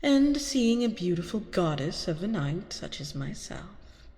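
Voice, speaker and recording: A woman playing an arrogant, seductive vampire queen in an ASMR roleplay, teasing and commanding, with theatrical, drawn-out delivery. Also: fairly low pitch, big pitch swings, warm tone. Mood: smug, probing. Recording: long reverberant hall sound.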